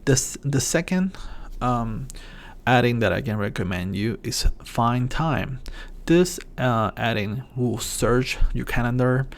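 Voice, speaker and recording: A man's voice: mid-range voice, expressive, slow. A man from Puerto Rico with a Puerto Rican Spanish accent, a native Spanish speaker, hosting a casual tech tips vlog in English with many fillers and restarts. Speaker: channel host.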